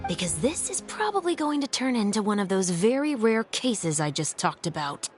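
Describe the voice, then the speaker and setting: A woman's voice: mid-range voice, sing-song, normal speed, music in the background, natural voice. An actress playing a stern young woman officer who speaks in a level, dryly teasing way.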